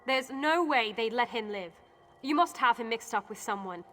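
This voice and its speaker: A war-weary woman fighter in a video game. Her voice sits a little high and is earnest and emotional. It carries the reverb of a large hall. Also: animated, normal speed, a bit bright. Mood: disbelieving.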